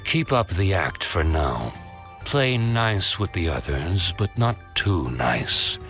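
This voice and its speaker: A man's voice: sits low, big pitch swings, slow, a bit bright, soft background music, heavily acted. A man voicing a sly, calculating octopus infiltrator villain with a controlled, menacing manner, in a cartoon comic dub. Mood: cold scheming. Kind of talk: animated comic dub acting.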